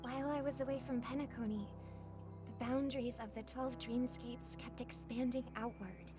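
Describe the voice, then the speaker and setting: Game heroine played by a woman: young songstress, high voice, gentle and poised, formal earnest phrasing, music behind. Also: steady tone, close to an everyday voice. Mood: grave, reflective. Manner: measured, formal, earnest delivery. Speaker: game character voice. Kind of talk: scripted game dialogue.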